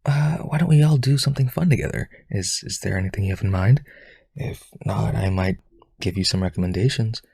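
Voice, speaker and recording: Male actor as needy aristocrat vampire for roleplay audio: deep-leaning, heavily raspy, pleading, halting, desperate to please. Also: darkish tone, dry recording, studio quality. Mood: eager, coaxing. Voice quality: husky, creaky. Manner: hesitant, pleading, eager to please. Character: lonely rich male aristocrat vampire, eager, clingy yandere suitor.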